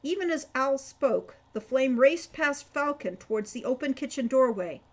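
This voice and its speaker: A woman reads a story aloud in a fairly high-pitched voice. Her delivery is that of a dramatic storyteller working from a script. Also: expressive, moderate pace. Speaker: author reading own audiobook chapter.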